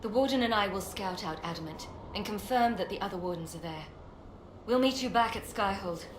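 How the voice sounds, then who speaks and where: An actress playing a stern, disillusioned fantasy adventurer in a video game, grave and bitter in manner. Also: female voice, mid-range voice, fairly quick, close to an everyday voice. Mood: resolute. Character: stern, disillusioned female fantasy adventurer, judgmental and world-weary. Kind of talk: dramatic fantasy game dialogue. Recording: echoey, with clear background noise and ambience.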